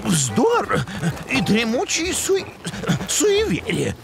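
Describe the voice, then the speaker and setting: A man's voice: very high-pitched, huge pitch swings, extremely slow, gravelly, noisy background, full character voice. An actor playing a pompous, bookish male moose scientist in a cartoon who lectures and exclaims theatrically. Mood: lecturing. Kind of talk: cartoon voice acting. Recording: cartoon soundtrack with music.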